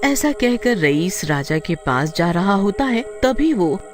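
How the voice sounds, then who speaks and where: A woman's voice: mid-range voice, very animated, measured pace, faint music behind. A woman storyteller giving scripted, dramatic narration.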